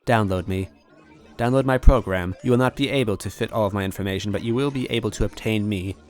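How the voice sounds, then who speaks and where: Man voicing a low, lonely, formal computer. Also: quick pace, a little mellow, natural voice. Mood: pleading, lonely. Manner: formal, stilted, precise wording. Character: lonely, apologetic obsolete supercomputer, formal and polite.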